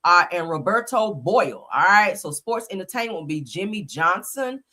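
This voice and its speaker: A woman's voice: lowish voice, expressive, normal speed, bright, forceful tone. Chatty woman host with a slight cold. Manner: chatty, greets viewers often, says y'all. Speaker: live stream host. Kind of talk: casual live stream commentary.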